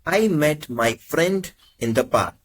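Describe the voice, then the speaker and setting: A man teaching as a language tutor, reading short prompts in slow, measured phrases. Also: a little high, expressive. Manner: measured, carefully spaced phrases. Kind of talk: slow, deliberate lesson prompts.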